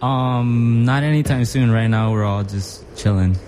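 A man guesting on a radio show as a musician, giving quiet, low-key short answers from off-mic. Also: a little slow, heavy background noise.

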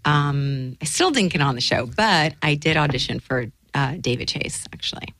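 A woman's voice: sits low, lively intonation, moderate pace, a bit bright, no echo. A woman actress being interviewed, chatting in an easygoing way. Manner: chatty, easygoing, laughs readily. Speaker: actress guest. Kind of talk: casual interview chat.

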